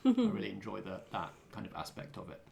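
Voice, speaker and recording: A man's voice: fairly low pitch, wildly swooping pitch, fast, creaky, a little mellow. A man chatting casually as a game master, explaining things in a positive way. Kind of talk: casual group discussion.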